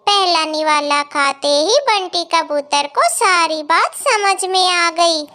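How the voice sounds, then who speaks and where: Woman narrator, very high voice, slow pace, scripted children's storytelling. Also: bright, forceful tone.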